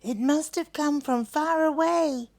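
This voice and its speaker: Lady doing a fussy aussie outback bird for a storybook, really high pitched, stretches words. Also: a little slow, a little mellow. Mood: amazed. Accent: Australian. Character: fretting, nosy outback bird and animal neighbours.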